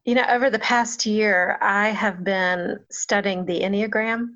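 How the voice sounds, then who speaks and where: A woman's voice: medium pitch, fairly even intonation, a little slow, fairly bright tone, muffled recording. A woman pastor giving a thoughtful, reflective interview answer, often laughing at herself.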